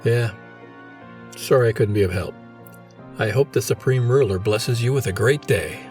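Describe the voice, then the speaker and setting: A woman voicing a matter-of-fact neighbor man with a gravelly voice. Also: rumbling low, normal speed, darkish tone, faint music behind, voice pushed down.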